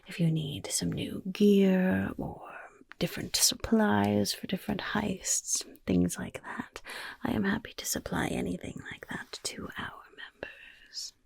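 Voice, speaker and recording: A woman voicing a sly, gold-loving female goblin rogue in a whispered ASMR fantasy roleplay, breathy and coy, with rambling asides. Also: medium pitch, measured pace, no echo, exaggerated voice. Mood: coy, teasing. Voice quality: breathy, husky, crackly whisper. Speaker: roleplay quest-giver for a rogues' league.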